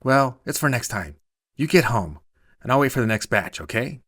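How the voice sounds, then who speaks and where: A man's voice: mid-range voice, expressive, normal speed, fairly bright tone, dry recording, clean studio sound, pitched above the performer's own voice. A man voicing a reclusive, wary middle-aged woman with a silky, guarded, terse way of speaking in a short story. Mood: guarded, softening.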